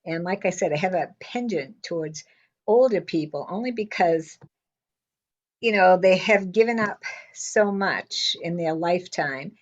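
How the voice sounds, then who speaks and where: An older woman in semi-retirement telling a casual story with a loud, blunt way of talking, acting out what people said. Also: lowish voice, measured pace, dull audio. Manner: lively, blunt, quotes people in her stories. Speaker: interviewee.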